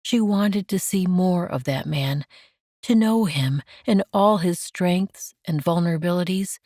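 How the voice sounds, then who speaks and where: A woman reads romance fiction as an audiobook narrator. Her voice is a little low and her delivery is slow, gentle and measured. The sound is very dry, with no room around it. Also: no room sound.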